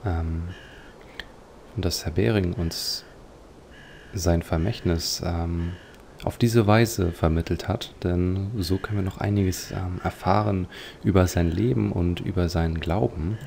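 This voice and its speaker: A man giving reflective, slightly formal game commentary, pausing hesitantly as he thinks aloud. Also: low-pitched, fairly even intonation, creaky, darkish tone, a little hiss or hum. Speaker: let's player.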